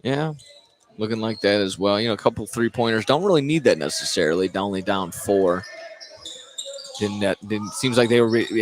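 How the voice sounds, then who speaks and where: An American man doing live radio play-by-play of a basketball game, sometimes stumbling over names and restarting phrases. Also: medium pitch. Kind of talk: live radio sports commentary. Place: courtside in a high school basketball gym. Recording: clear gym noise behind the voice. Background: gym ambience, basketball bounces.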